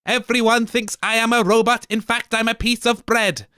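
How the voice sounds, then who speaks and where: A man's voice: very high-pitched, little pitch movement, moderate pace, bright, forceful tone, smooth, dry recording, clean studio sound, pitched above the performer's own voice. A man voicing a mad, gleefully menacing robot in rhyming verse. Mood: manic.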